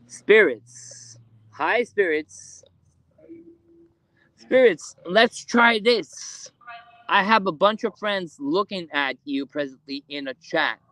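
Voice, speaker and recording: A man hosting a live ghost hunt in a cemetery at night, speaking haltingly with many fillers as he calls out to spirits. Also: sits high, fairly bright tone, dry recording, poor audio. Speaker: ghost hunter streaming live. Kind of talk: live paranormal investigation stream.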